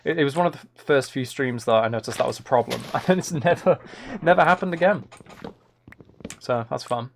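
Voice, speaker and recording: A man with a mid-pitched voice talks casually to his live stream viewers while gaming. His delivery is dry and playfully mock-serious. There is noticeable noise behind him, with keyboard and mouse clicks. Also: fairly quick, gravelly.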